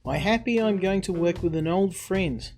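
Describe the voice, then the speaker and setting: A careful new mayor read by a man talks politely and with measure in a visual novel. The voice is fairly high for a man. Soft music runs behind it. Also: moderate pace.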